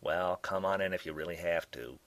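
A man voicing a sardonic, chain-smoking woman scientist in her forties, drawling and scornful with a raspy edge. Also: sits low, a little fast, a bit bright, dry recording.

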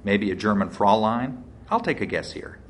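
A man's voice: on the low side, animated, moderate pace, a little hiss or hum, lightly acted. A man voicing a wry, sarcastic male amateur sleuth under suspicion, as a character in an audiobook. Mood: sarcastic, defiant.

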